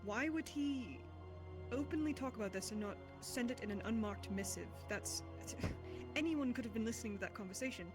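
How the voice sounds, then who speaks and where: Woman playing a blunt, sweary fantasy bodyguard, loud music. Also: a little high, lively intonation, moderate pace, lightly acted. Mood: incredulous, conspiratorial. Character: blunt, foul-mouthed female bodyguard in fantasy intrigue. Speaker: roleplayer. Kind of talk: improvised fantasy roleplay dialogue. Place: online VR voice chat.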